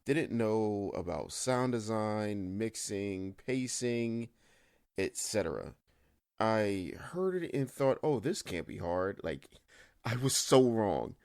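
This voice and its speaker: A man's voice: fairly low pitch, animated, takes it slowly, creaky, a little mellow, clean tone, no echo, studio quality. A man speaking as an interview guest, giving casual answers about his creative work.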